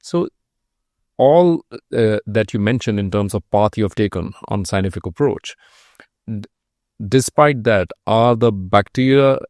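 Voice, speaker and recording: A man's voice: on the low side, expressive, unhurried, darkish tone, dry recording, clean studio sound. A man hosting an interview podcast, asking questions and adding brief affirmations. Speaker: podcast host. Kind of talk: conversational interview.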